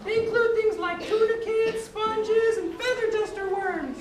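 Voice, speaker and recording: Very high-voiced woman as a puppet sea creature. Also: measured pace. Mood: cheery, instructive. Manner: exclamatory, instructive. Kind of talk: scripted children's puppet show. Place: large indoor hall with live audience. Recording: echoey hall, far mic, audience noise.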